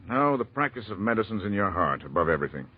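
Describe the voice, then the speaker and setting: A man's voice: on the low side, sing-song, fast talker, slightly rough. An actor playing a kindly older senior doctor in a 1940s radio drama, fatherly, folksy and a little hesitant. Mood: warm, weary, reassuring. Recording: tinny old radio recording.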